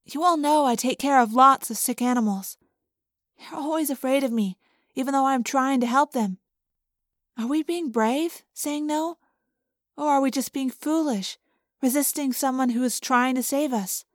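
A woman voicing a gentle, thoughtful teenage girl in an audiobook, speaking softly and earnestly. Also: high-pitched, normal speed, no room sound, clean studio sound, lightly acted. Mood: earnest, doubtful. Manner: soft, earnest.

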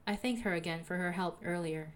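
A young woman with a soothing voice, calmly narrating a personal audio diary as a podcaster. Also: lowish voice, a little fast. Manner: calm, reflective, confessional.

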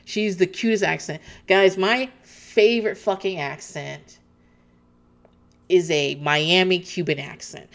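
A woman's voice: on the low side, creaky, a bit bright. A woman host chatting casually as she recaps a reality show, swearing freely and laughing often.

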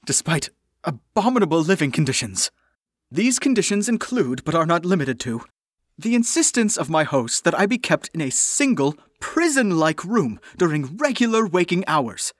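An actor playing a haughty, formal alien prince in an audio drama, declaiming in a pompous, elaborate manner. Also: male voice, fairly high pitch, a bit bright, no echo, studio quality.

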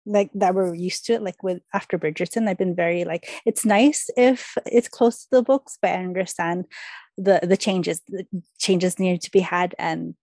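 A woman's voice: fairly low pitch, normal speed, a little mellow, no room sound. A woman speaking as a podcast guest in casual chat, telling things by quoting her own thoughts.

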